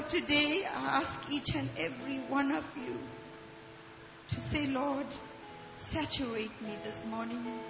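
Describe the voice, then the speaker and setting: A woman prays aloud slowly and fervently, her voice high and unhurried. She is heard with a long echo, as if across a church hall, with instrumental music swelling behind her and a slightly dull sound. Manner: slow, fervent, devout. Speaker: congregation member leading prayer. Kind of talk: spoken prayer. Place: church sanctuary.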